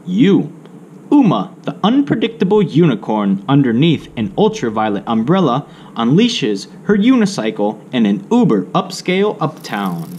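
A man narrating a children's alphabet picture book aloud, in deliberate, separated phrases with drawn-out words. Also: medium pitch, wildly swooping pitch, a little mellow, muffled recording. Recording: faint background noise.

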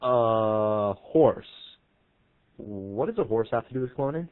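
A man's voice: lowish voice, expressive, slow. A man playing a casual, slangy sidekick who stammers and exclaims in a comedy skit, on a noisy lo-fi recording. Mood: puzzled. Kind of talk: amateur comedy skit acting. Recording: muffled lo-fi audio with noise and clicks.

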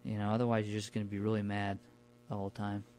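A man's voice: fairly low pitch, monotone, fast talker, a little mellow, slight background noise. Informal, colloquial man chatting. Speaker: podcast guest recounting his camping trips. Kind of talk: casual conversational storytelling.

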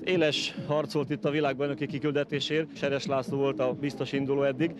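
A man speaking in a flat, matter-of-fact way in an outdoor interview at a race. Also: mid-range voice, over music. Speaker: sports official interviewee.

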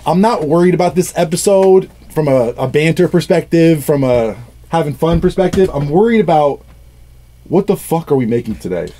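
Teasing, slightly high-voiced male host. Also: expressive, moderate pace, mellow, slight background noise. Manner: teasing, joking, swears freely. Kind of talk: casual studio banter. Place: small music studio indoors.

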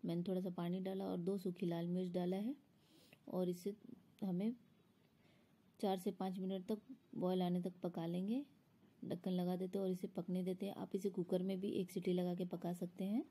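Lady doing a recipe voiceover, low mellow husky voice, explains each step. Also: flat delivery, normal speed. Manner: instructional, explanatory. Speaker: home cook hosting a recipe channel. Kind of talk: step-by-step cooking tutorial voice-over.

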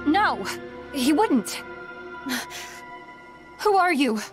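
An innocent young game princess, anxious and pleading. Her very high voice rises and falls widely, with music playing underneath. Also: big pitch swings, drawn-out words, exaggerated voice. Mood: worried disbelief. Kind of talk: scripted animated game cutscene acting.

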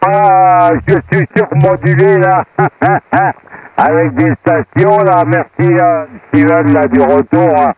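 A man from France talking casually and haltingly as an amateur radio operator, through a two-way radio transmission. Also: on the high side, lively intonation, no echo. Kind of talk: casual radio equipment report. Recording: muffled dry shortwave radio transmission.

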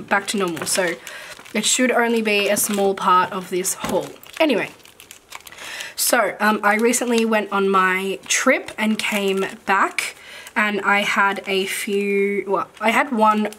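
A woman with a croaky voice that is still recovering, chatting casually and full of fillers as she sorts stickers at a desk for an overhead camera. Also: medium pitch, fairly bright tone, a little hiss or hum. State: croaky, recovering from losing her voice. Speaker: planner vlogger. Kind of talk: casual haul chat while sorting stickers. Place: at a desk indoors, filming hands from above.

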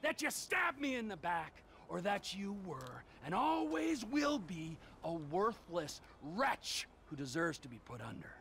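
A man playing a menacing, mocking, unhinged middle-aged criminal in a video game, with a gravelly, taunting delivery. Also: high voice, wildly swooping pitch, sharp tone, a little hiss or hum, heavily acted. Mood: bitter. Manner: taunting, drawn-out, volatile. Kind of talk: cinematic game acting.

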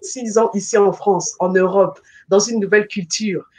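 A woman's voice: medium pitch, fairly even intonation, normal speed, warm tone, breathy edge. A middle-aged woman from the Congo, a life coach, introducing herself on a video call in an earnest, faith-filled testimony. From Republic of the Congo. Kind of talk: guest self-introduction. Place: home room, video call. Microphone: webcam mic on video call.

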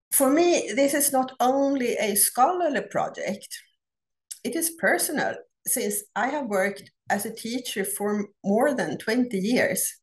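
Slow, measured Swedish woman lecturing. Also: medium pitch. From Sweden. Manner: measured, deliberate, with frequent "uh" pauses. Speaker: academic researcher presenting a paper. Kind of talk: prepared conference talk. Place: home office. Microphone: laptop webcam on a video call.

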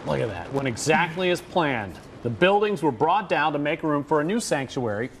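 Man, TV news anchor, fairly high voice, slight noise. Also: very animated, moderate pace. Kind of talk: television news reading.

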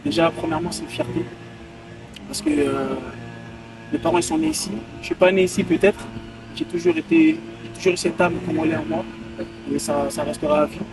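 A man's voice: sits high, sing-song, fairly quick, gravelly, recorded from a distance, over music, rough recording. A man in his twenties, a footballer giving casual interview answers outdoors and repeating phrases for emphasis. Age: 20s. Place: outdoors by a hotel pool.

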